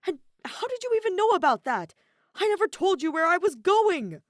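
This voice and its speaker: A woman acts out an indignant young man from an audio story, dramatic and easily exasperated. The voice is loud and incredulous, leaping about in pitch and close to spluttering. The sound is dry and close. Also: sing-song, moderate pace, dry recording. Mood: flustered, indignant.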